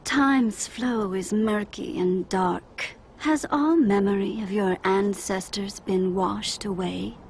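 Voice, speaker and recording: A woman's voice: mid-range voice, takes it slowly, few pauses, a little hiss or hum. A woman voicing a menacing, prophetic zealot in a solemn, declamatory way for a dramatic video game. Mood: solemn menace.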